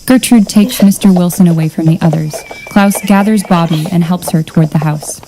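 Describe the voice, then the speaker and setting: A woman's voice: on the low side, fairly quick, mellow, slight background noise, natural voice, poor audio. A woman reading stage directions as scripted narration for an audio drama.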